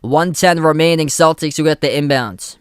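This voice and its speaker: A man's voice: fairly high pitch, normal speed, clear, resonant tone, no room sound, studio quality. Boston-accented man calling a game. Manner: steady running commentary in short clipped phrases. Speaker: live play-by-play streamer. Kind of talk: amateur play-by-play commentary.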